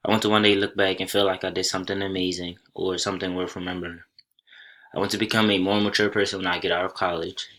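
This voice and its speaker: A young man from Senegal whose first language is Soninke, giving a college class presentation over slides in a plain, unhurried way with small restarts. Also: low voice, flat delivery, fairly bright tone. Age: young adult. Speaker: college student.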